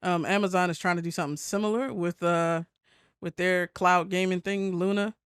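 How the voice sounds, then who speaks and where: A man's voice: high voice, steady tone, moderate pace, a bit bright, slight background noise. A man co-hosting a casual gaming news chat, hesitant in his phrasing and freely swearing. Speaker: podcast co-host.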